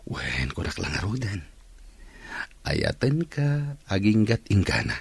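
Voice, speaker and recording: Guy acting as smooth-talking suitor, husky voice, swingy pitch, ilocano accent, radio drama. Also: big pitch swings, slow pace, no echo, exaggerated voice. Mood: coaxing. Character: smooth-talking male suitor courting a young woman.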